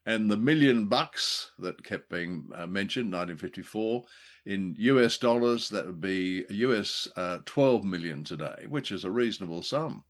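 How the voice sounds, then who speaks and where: A man co-hosting a podcast in casual, unscripted discussion, with halting, hesitant phrasing. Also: lowish voice, lively intonation. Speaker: podcast co-host.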